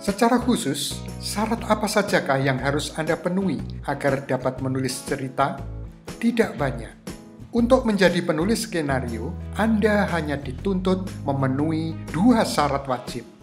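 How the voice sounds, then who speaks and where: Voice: medium pitch, animated, normal speed. A presenter gives scripted instructional narration in a didactic manner, putting rhetorical questions to the listener. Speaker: host of a screenwriting tutorial. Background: guitar music.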